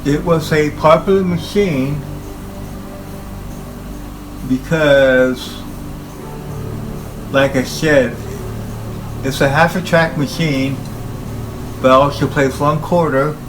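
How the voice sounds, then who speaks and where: A man giving an informal, halting talk about equipment as a hobbyist presenter, speaking in short phrases. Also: on the high side, over music, low-quality recording. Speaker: hobbyist presenter showing his tape machines.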